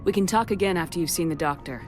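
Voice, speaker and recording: A woman performs a female military commander from a space video game. Her voice is on the low side, firm and authoritative. She talks directly and decisively, with soft music behind her. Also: fast talker, close to an everyday voice. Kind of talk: video game dialogue acting.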